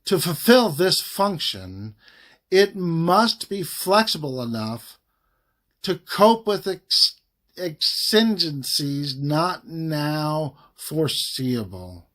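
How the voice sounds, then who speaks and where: A man reading a formal legal document aloud in a measured, emphatic way, pausing phrase by phrase. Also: a little high, big pitch swings, fairly bright tone. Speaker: reader of a government report.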